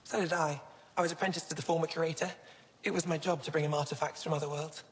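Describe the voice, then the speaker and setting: A young female alien curator from a sci-fi game, played by an actress, with a notably low voice. She is earnest, scholarly and a little wistful, speaking in a measured way. Long reverb surrounds her, as in a big hall. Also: fairly even intonation, natural voice. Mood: thoughtful. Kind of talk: video game dialogue.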